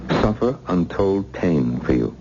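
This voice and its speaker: An actor playing a menacing, coldly polite interrogator with a silky, taunting manner in an old radio thriller. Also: male voice, low-pitched, big pitch swings, unhurried. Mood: coldly probing. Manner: silky, mock-courteous, taunting. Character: menacing male interrogator, coldly polite villain threatening torture. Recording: muffled, hissy old radio recording.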